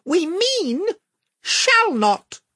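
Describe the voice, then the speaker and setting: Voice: very high-pitched, sing-song, extremely slow, sharp tone, no echo, clean studio sound, voice pushed up, heavily acted. A reader voicing a valiant, courtly talking mouse knight who speaks with formal, solemn politeness. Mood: solemn, dutiful.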